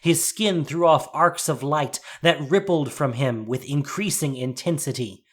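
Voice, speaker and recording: A man reading a scripted fantasy story as narrator, with measured, deliberate, suspenseful phrasing. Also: fairly high pitch. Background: soft music.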